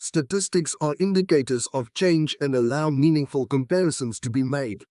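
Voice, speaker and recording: A man reading formal practice passages aloud in a measured, carefully enunciated way. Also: on the high side, a little mellow, no echo, clean studio sound.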